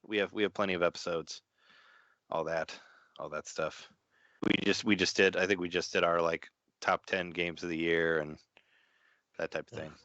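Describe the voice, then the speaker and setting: A man's voice: low-pitched, flat delivery, moderate pace, long pauses, a bit bright, no room sound, highs cut off. A man chatting as a podcast guest, repeating words as he talks, his voice just getting over an illness. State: recovering from an illness, voice mostly back. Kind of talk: casual podcast chat.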